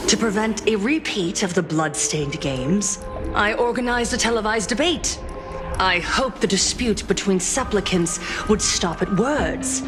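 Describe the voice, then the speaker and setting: A woman's voice: medium pitch, lightly acted. A woman acts out a poised organizer making a public address in a video game. Her mid-range voice is formal and declarative. Music sits prominently under the speech.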